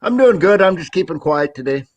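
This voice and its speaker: A man's voice: fairly high pitch, lively intonation, no room sound. A man who is a guest on a live-stream video chat, talking casually, poking fun at himself and laughing easily. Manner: self-deprecating, quick to laugh.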